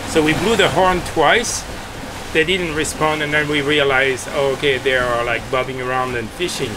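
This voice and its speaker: A man talking casually as a sailing vlogger, explaining things in a hesitant, halting way outdoors on a boat. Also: a little high, steady tone. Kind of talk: casual explanatory vlog talk. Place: outdoors aboard a sailboat at sea. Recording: noisy with wind, water and boat sounds.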